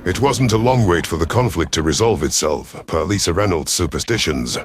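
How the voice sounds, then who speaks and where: Male narrator, low-pitched, dramatic first-person sci-fi storytelling, faint music underneath. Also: expressive, normal speed, breathy edge, no echo. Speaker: narrator of science fiction audio stories. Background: background music.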